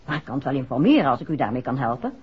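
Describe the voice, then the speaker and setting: An actress in a 1960s Dutch radio drama, playing a soft-spoken, expressive woman in a detective serial. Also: rumbling low, racing, slightly rough, heavily acted. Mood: hesitant, puzzled. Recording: dull, noisy 1960s radio recording.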